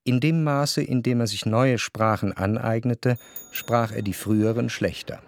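An actor playing a reflective, wryly observant narrator in a literary radio drama, speaking in a measured, articulate way. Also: male voice, mid-range voice, fairly even intonation, dry recording, clean studio sound, natural voice. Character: reflective, observant male narrator of society life. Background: faint music.

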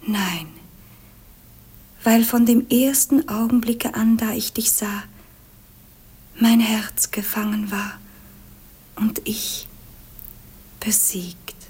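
An actress playing a proud, passionate young princess, fervent and declamatory, in a 1950s German radio play. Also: female voice, a little high, fairly even intonation, takes it slowly, long pauses, darkish tone. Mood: hushed confession.

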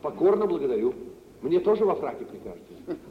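An actor playing a breezy, urbane young merchant with mock-deferential politeness, in old radio theatre style. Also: male voice, a little high, sing-song, brisk. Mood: mock-polite. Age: young adult. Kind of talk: 1950s radio theatre acting. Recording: muffled old radio recording, echoey, noisy.

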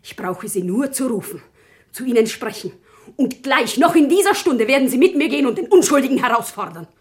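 Fervent, high-voiced, husky Parisian wife. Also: female voice, big pitch swings, fairly quick, heavily acted. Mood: fervent appeal. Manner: fervent, pleading, dramatic. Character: impassioned, defiant Parisian shopkeeper's wife pleading for a prisoner. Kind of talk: classic German radio drama acting.

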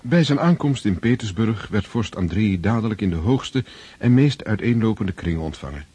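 An actor narrating a historical radio drama in a measured, formal storytelling manner. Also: male voice, on the low side, animated, dark tone, slightly rough, no room sound. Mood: composed. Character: composed male storyteller of a historical novel.

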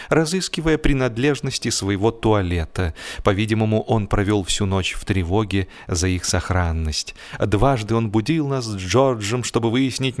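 A man narrating a humorous first-person story expressively, with theatrical, drawn-out emphasis on key phrases. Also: on the low side. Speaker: audiobook narrator.

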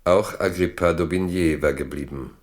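An actor as a grave, all-knowing storyteller, giving measured, reflective literary narration in a historical radio play. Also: male voice, low-pitched, close to an everyday voice.

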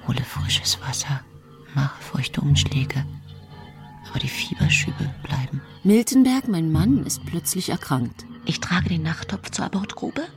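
A woman's voice: low-pitched, gravelly, full character voice. An actress playing a self-righteous young 19th-century wife and mother who confides her story in a genteel, self-satisfied way in a radio play. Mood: weary, anxious. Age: late twenties. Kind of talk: radio play monologue, first-person storytelling.

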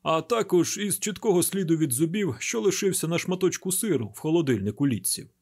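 A man's voice: fairly high pitch, animated, brisk, darkish tone, pitched above the performer's own voice. A man voicing a testy, exacting chief medical examiner in an audiobook, formal and precise in manner. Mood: lecturing.

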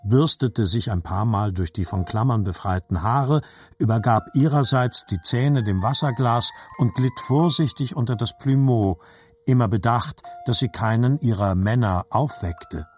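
A man's voice: medium pitch, a little mellow, close to an everyday voice. A man narrating scripted literary childhood memories as a grown grandson in an audio drama.

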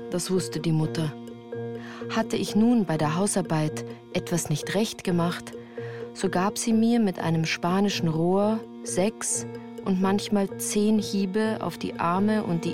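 An actress playing a grown woman who recounts a harsh childhood in measured, composed radio play narration. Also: on the low side, lightly acted. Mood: sober, reflective. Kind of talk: literary first-person radio play narration.

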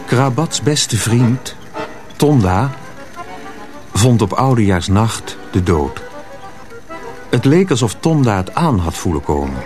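A man narrating a radio drama in a measured, scripted storytelling manner. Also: on the low side, big pitch swings, music in the background.

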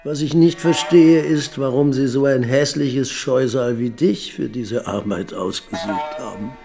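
Gravelly old Daoist master. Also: male voice, medium pitch, unhurried, warm tone, faint music behind. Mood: mocking. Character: old Daoist master, cryptic, dry, unafraid. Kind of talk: radio drama acting.

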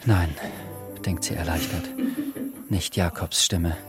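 A man narrating a radio play, reading literary prose in a measured storytelling manner. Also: low voice, steady tone. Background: clear music.

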